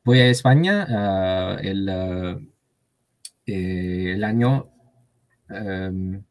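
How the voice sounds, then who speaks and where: Hesitant learner, slow and halting. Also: male voice, low voice, no echo. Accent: Taiwanese. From Taiwan. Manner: hesitant, many fillers and restarts, Spanish learner. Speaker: interview guest. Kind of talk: casual conversation.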